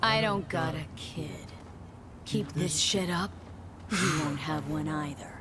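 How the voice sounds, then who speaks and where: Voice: mid-range voice, takes it slowly, background noise, close to an everyday voice. An actor playing a tough, streetwise mercenary in a video game, blunt and slangy yet a kindly mentor. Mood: confrontational. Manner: slangy, blunt, laid-back.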